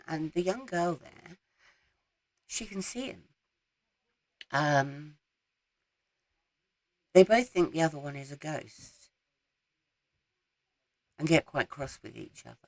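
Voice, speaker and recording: A woman, croaky from a head cold, chatting casually about her week's reading and rambling with frequent ums. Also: sits low, a little mellow, no room sound. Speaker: book vlogger. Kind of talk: casual reading wrap-up chat. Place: small garden shed.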